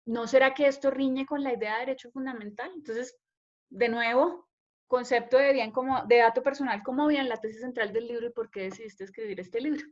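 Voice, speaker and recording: Woman, moderator, fairly high-pitched, courteous, long questions, muffled video call audio. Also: fairly quick. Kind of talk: panel moderation.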